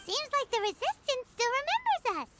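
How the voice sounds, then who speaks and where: A woman voicing a small, chirpy and curious floating girl companion in a game, with a high, squeaky, childlike voice. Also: sing-song, fairly quick, over music. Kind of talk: game voice acting.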